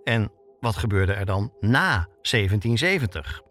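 A man's voice: on the low side, animated, measured pace, fairly bright tone, faint music behind. A man hosting a podcast with scripted documentary narration, told like a story. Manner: storytelling, with rhetorical questions. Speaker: podcast host and reporter.

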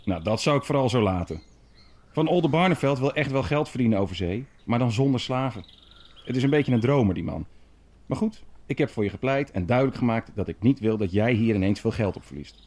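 An actor playing a self-assured, chatty prince in a Dutch historical audio drama, familiar and dismissive in manner. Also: male voice, mid-range voice, lively intonation, a little fast, darkish tone, lightly acted. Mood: dismissive, confiding.

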